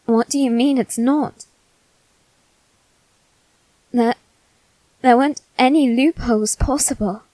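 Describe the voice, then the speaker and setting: A woman playing a wounded, possessive teenage boy aristocrat in an ASMR roleplay, speaking haltingly with long pauses. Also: on the high side, dark tone, no echo, poor audio. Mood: resigned, tense. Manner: slow, halting, measured delivery with long pauses. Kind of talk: ASMR character roleplay, scripted drama.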